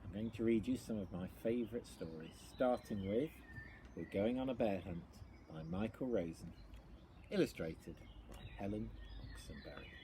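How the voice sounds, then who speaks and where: A man giving a dramatic, exaggerated and deliberate reading of a children's picture book. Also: on the low side, lively intonation, background noise. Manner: theatrical, exaggerated, deliberate delivery with repeated phrases. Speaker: storyteller.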